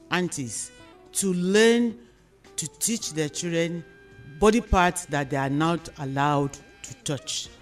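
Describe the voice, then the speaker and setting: A man with a Nigerian accent hosting a radio discussion, putting serious questions to a guest in measured, deliberate short phrases. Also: on the high side. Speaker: host of a radio discussion programme. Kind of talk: interview questioning on a serious social issue.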